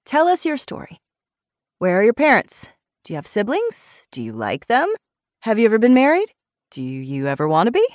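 A woman's voice: a little high, wildly swooping pitch, moderate pace, no room sound, studio quality, voice pushed up. A woman voicing an outrageous, blunt, bubbly woman doctor in a novel, playful and emphatic. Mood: eager, prying. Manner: playful, emphatic, mock-dramatic.

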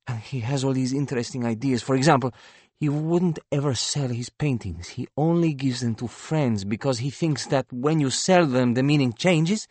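A man's voice: mid-range voice, moderate pace, a little mellow, no room sound, voice pushed up, lightly acted. A male narrator performs a young woman painter in a novel. She is blunt, idealistic and sardonic, speaking with earnest, rhapsodic emphasis. The sound is close and dry. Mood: rapturous.

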